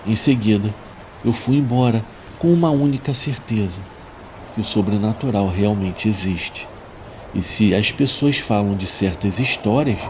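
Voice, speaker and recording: Low-voiced Brazilian man narrating over rain. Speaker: narrator of listener-submitted horror stories. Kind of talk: scripted first-person story narration. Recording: steady rain noise behind the voice. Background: rain ambience.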